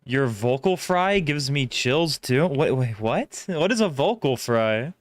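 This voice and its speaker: A man's voice: mid-range voice, lively intonation, a little slow, no echo. A young man chatting casually with his live-stream chat while drawing, laughing often and reacting to comments.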